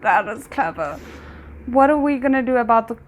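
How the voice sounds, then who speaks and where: A woman with a fairly high voice gives casual commentary while watching a TV episode. She reacts with strong emotion and then reasons through what she saw. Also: lively intonation, normal speed, slight background noise. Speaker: reaction video host.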